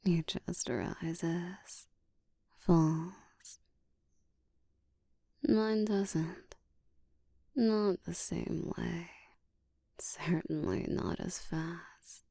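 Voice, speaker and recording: A woman playing a dominant, seductive vampire in a whispered roleplay, speaking in a breathy, deliberate, teasing way. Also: on the low side, mellow, no echo, full character voice. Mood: teasing, commanding. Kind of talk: whispered roleplay audio, ASMR.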